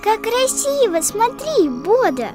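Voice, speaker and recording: A little cartoon pupil with an extremely high, swinging voice. The small character corrects and explains patiently, in simple, emphatic phrases made for young children. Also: female voice, very animated, takes it slowly, over music, heavily acted. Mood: helpful, explaining. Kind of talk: children's educational cartoon acting.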